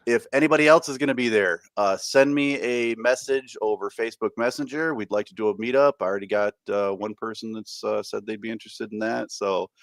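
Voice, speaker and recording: A man's voice: on the low side, fairly quick, gravelly, few pauses, dry recording. Guy podcast cohost just chatting, stop-start phrasing, really dry audio. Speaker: podcast co-host. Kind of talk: casual podcast chat.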